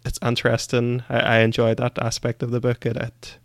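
Guy chatting about books, pretty flat delivery, dry close sound, repeats words as he thinks. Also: mid-range voice, a little fast, creaky, no room sound. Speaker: podcast co-host. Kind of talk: casual book discussion.

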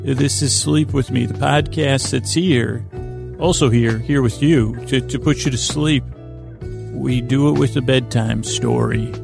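A man hosting a bedtime podcast in a creaky, soothing voice, meandering and halting as he lulls listeners to sleep with whimsical tangents. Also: medium pitch, steady tone, over music. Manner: meandering, halting, often trails off and repeats himself. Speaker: podcast host. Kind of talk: rambling bedtime monologue to lull listeners to sleep.

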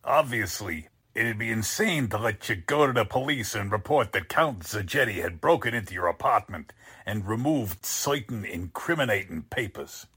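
A man acts out a con artist posing as a Count in a radio thriller. The voice is low and very raspy, with mock courtesy over open threat and lively swings in pitch. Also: moderate pace, full character voice. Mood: cold threat. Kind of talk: radio drama acting in 1940s manner.

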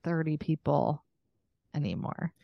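An American woman in her thirties chatting casually as a podcast co-host, rambling and quick to laugh. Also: sits low, vocal fry, dry recording, highs cut off. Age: 30s. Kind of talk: casual chat.

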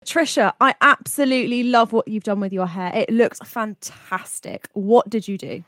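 A gushing young sorority best friend acted by a woman with a British accent, fawning in an improvised comedy scene. Her pitch sits in the middle and the sound is dry. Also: moderate pace, a bit bright, no echo, natural voice.

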